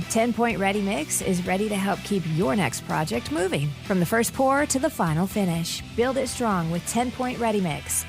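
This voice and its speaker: Woman reading a sponsor ad over music. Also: medium pitch. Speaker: advertisement announcer. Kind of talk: scripted sponsor ad read. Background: music bed.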